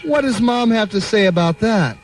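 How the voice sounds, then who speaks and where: A man's voice: high-pitched, animated, normal speed. A man working as a radio show producer, casually telling stories and asking playful questions on air. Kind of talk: casual anecdotes and playful interviewing.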